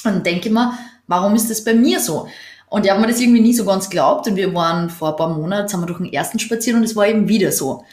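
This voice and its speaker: A woman speaking at a mid pitch, heard in a noticeably echoey room. She explains things earnestly and at length, stringing clause onto clause as she tells her experiences. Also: fast talker, darkish tone. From Austria. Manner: earnest, long winding explanations. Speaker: podcast co-host. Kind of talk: casual conversation.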